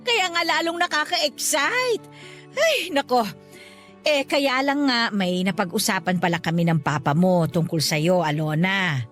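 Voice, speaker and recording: A woman playing a doting but insistent middle-aged mother-in-law in a radio drama, coaxing and expressive. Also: mid-range voice, measured pace, close to an everyday voice. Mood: hesitant, coaxing. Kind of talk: Tagalog radio drama acting. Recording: music bed under the voice. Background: background music.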